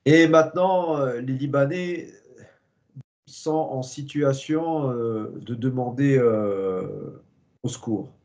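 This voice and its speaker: A man interviewing his guest in a mid-range, ordinary voice. He builds his questions slowly, hesitating often and filling the gaps. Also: mid-range voice, a little mellow, muffled recording. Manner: hesitant, searching questions with many fillers. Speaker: interviewer host. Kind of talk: conversational interview.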